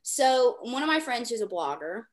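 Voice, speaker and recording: Animated, gushing woman podcast host. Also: on the high side, moderate pace. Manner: animated, gushing storyteller who acts out quotes. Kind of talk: casual interview chat.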